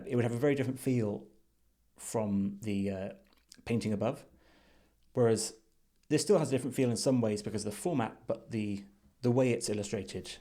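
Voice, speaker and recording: A man giving unscripted screen-share commentary as a reviewer, thinking aloud in a measured, thoughtful way. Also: on the low side, dark tone. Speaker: design reviewer.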